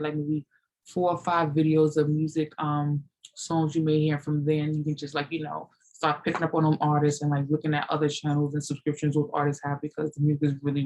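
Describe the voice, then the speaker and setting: A woman's voice: low-pitched, a little mellow, poor audio. A woman in her late thirties answering viewer questions in a loose, rambling chat, full of "you know" and "like". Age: 30s. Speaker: fitness vlogger. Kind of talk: casual Q&A chat.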